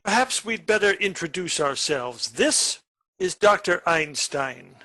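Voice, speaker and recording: Actor as a sinister, sharp-voiced menacing man. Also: high-pitched, lively intonation, unhurried, exaggerated voice. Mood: coolly menacing. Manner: commanding, threatening. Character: sinister, menacing brother, a returned criminal. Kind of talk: radio play acting.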